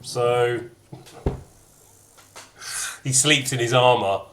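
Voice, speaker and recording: A man's voice: medium pitch, drawn-out words, fairly bright tone, a little hiss or hum. A man giving casual, playful commentary while playing a video game, chatting and gushing in asides. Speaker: gamer commentating his play. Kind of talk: casual let's-play commentary.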